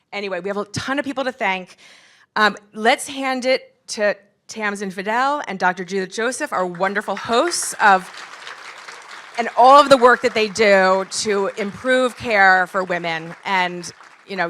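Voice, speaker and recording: Woman, 50s, event founder wrapping up a panel; candid, chatty, mid-pitched voice. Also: fairly bright tone. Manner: candid, chatty, rallying the audience with questions. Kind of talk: closing remarks to a live audience.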